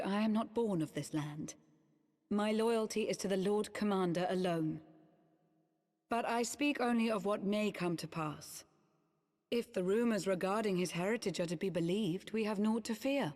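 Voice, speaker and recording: A resolute lady knight, voiced by a woman for a fantasy game, her voice ringing with long reverb. She speaks at a middle pitch in formal, archaic phrases, eloquent and earnest, steadfast in her loyalty. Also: normal speed, darkish tone, natural voice.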